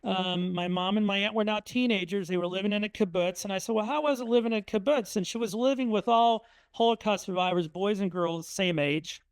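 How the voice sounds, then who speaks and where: Middle-aged guy from indiana telling family stories at a talk, high smooth voice, dry close sound. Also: brisk, few pauses, no room sound. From Indiana, USA. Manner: conversational, anecdotal, frequent um and uh. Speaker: author speaking at a museum talk. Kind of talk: storytelling presentation with slides.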